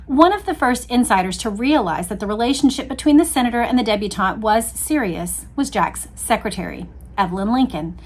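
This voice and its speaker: A woman's voice: fairly high pitch, lively intonation, fairly quick, hardly any pauses, husky. American woman, readalong host with chatty asides. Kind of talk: reading a history book aloud with casual commentary.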